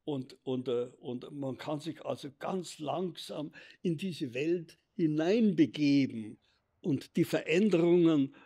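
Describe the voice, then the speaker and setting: Man, 80s, dark husky voice, hesitant reflective interviewee. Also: on the high side, expressive. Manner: slow, halting, repeats words. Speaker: interviewee photographer. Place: indoors, seated against a plain wall. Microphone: lapel mic.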